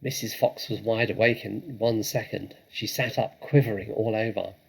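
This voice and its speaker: A man reads a children's story aloud in a dramatic, expressive way. His voice is creaky and a little husky, and he draws phrases out for suspense. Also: lowish voice, normal speed, poor audio. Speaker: storybook reader.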